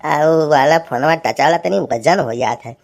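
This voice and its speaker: Woman playing a low-voiced grumbling Awadhi village husband. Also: unhurried, vocal fry, a little mellow. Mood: exasperated. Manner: folksy, complaining, scolding. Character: grumbling, teasing village husband stuck doing housework. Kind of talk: cartoon comedy dialogue.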